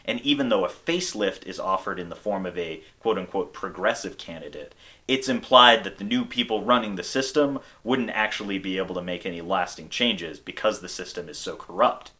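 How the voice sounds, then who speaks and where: A man's voice: sits low, brisk, sharp tone. An American man hosting a video essay, reading scripted narration. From United States.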